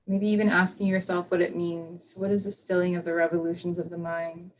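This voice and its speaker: A woman yoga teacher leading a live online class over a video call, guiding a reflection softly and unhurriedly with many fillers. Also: fairly low pitch, little pitch movement, brisk, rough recording.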